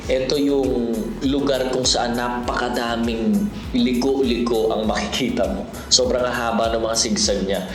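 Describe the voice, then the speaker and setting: A Filipino man narrating a motorcycle vlog in a casual, conversational, explanatory way, mixing Tagalog and English. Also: mid-range voice. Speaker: motorcycle vlogger. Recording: roomy echo, loud background music. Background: music.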